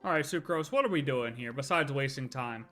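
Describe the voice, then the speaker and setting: A video game streamer with a bright, cutting voice talks casually to an audience. The delivery is blunt and sarcastic, with muttered asides and bits of on-screen text read out. Faint game music plays behind. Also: mid-range voice, expressive, brisk. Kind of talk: casual reaction commentary, reads game text aloud.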